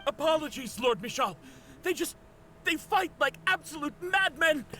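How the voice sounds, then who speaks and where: An actor playing a panicked, deferential soldier in a video game, frantic and apologetic. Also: male voice, very high voice, lively intonation, normal speed, pushed and bright, slight background noise, full character voice. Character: panicked, deferential male soldier reporting to his lord.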